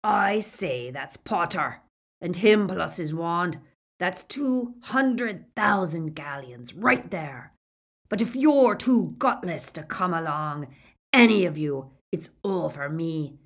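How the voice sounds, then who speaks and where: A woman voicing a brutal, greedy male werewolf gang leader who growls, rasps and snarls his lines. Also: fairly low pitch, animated, slow pace, heavily acted. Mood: greedy commanding. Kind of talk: audiobook character voice.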